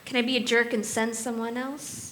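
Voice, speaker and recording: A woman's voice: fairly high pitch, level tone, normal speed, a bit bright, slight background noise, lightly acted. A woman playing a pushy lawyer boss. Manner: pushy, wheedling. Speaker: pushy law firm boss. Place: theater stage. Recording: echoey stage.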